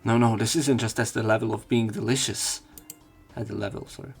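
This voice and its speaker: A man's voice: fairly low pitch, fairly even intonation, brisk, husky, faint music behind. A man reading visual novel text aloud as a let's play host, casual and prone to interrupting himself.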